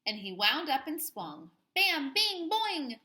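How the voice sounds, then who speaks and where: A woman host reading a children's story aloud in an expressive, playful way, with plenty of sound words. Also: high voice, slow, echoey room.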